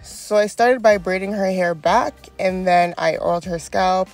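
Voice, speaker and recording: Young female beauty vlogger, congested and fry-heavy, friendly how-to voice-over with soft music under it. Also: mid-range voice, unhurried. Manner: chatty and encouraging, frequent ums. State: congested from a cold. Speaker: wig stylist and beauty vlogger. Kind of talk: step-by-step tutorial voice-over.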